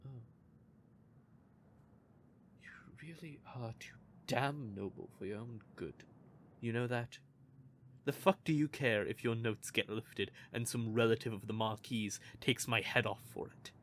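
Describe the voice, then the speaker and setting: A man's voice: fairly low pitch, slight background noise. A man playing a bitter, sardonic male vampire who curses and mocks. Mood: angry. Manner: cursing, mocking, gravelly. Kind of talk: audio drama roleplay.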